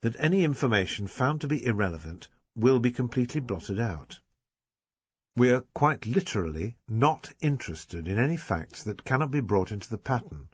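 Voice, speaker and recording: Man reading as a calm, quiet inspector. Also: medium pitch, moderate pace, breathy edge, no echo. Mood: level. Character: calm male police inspector, dry and polite.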